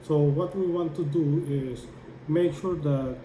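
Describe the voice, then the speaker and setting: A man giving a hands-on how-to demonstration, explaining step by step in a halting way as he works. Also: fairly high pitch, warm tone. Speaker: tutorial presenter. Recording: echoey room with clear background noise.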